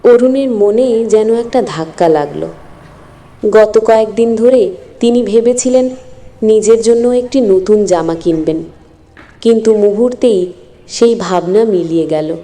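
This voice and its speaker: A woman's voice: mid-range voice, a little slow, warm tone. A woman narrating a scripted moral story as the storyteller. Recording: long echoing reverb.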